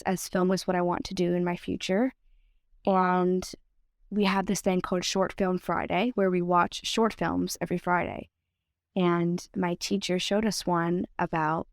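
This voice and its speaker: A woman's voice: lowish voice, creaky, dry recording, studio quality. A seventeen-year-old girl from Ohio with a mild stutter, telling her own story in a candid, reflective way. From Columbus, Ohio, United States. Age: teen, 17. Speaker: student storyteller. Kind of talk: personal narrated story.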